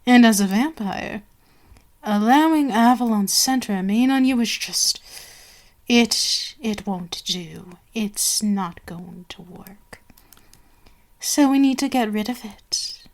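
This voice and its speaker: A woman playing an imperious, seductive vampire queen in an ASMR roleplay, haughty and menacing, drifting into breathy whispers. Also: medium pitch, slow pace, close to an everyday voice. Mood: haughty, disgusted.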